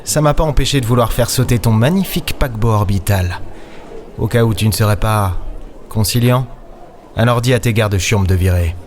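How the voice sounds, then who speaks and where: A man playing a weary, sardonic space captain in an audio drama, speaking in a measured, wry storytelling way. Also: on the low side, a little hiss or hum, lightly acted. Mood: cool, threatening. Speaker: lead character and narrator. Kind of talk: audio drama acting and first-person narration. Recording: music behind the voice. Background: music.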